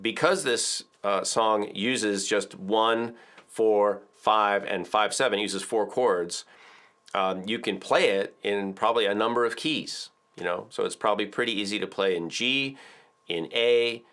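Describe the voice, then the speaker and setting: Middle-aged male music teacher, slightly low voice, casual explaining tone, studio video lesson. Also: unhurried, a bit bright. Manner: chatty, explains with filler words and counts aloud. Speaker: ukulele teacher. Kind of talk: relaxed video tutorial. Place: indoor studio with drums.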